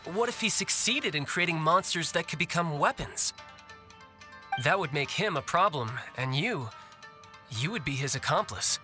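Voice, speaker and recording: A man's voice: on the high side, lively intonation, moderate pace, close to an everyday voice. A young man voicing a shrewd, cocky fighter in a video game, with a glib, teasing manner. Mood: provocative. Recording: music behind the voice.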